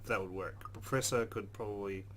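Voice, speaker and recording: A man's voice: fairly low pitch, a little fast, fairly bright tone, a little hiss or hum. A man hosting a let's play, reading game narration aloud and adding casual commentary.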